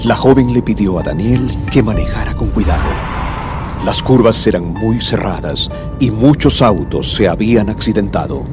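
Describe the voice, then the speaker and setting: A man's voice: lowish voice, expressive, normal speed. A man giving scripted dramatic narration for a mystery radio play. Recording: music bed behind the voice.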